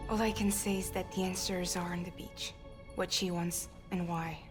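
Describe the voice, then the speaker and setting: A woman's voice: on the low side, flat delivery, normal speed, lightly acted. An actress playing a weary, determined young woman in a video game, explaining things frankly and urgently. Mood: explaining gravely. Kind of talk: cinematic game dialogue. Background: loud music.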